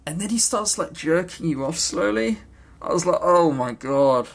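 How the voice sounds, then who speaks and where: Animated man, fairly high voice, chatting casually. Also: normal speed, creaky. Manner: animated, jokey storytelling with frank swearing. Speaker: podcast co-host. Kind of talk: casual chat about games.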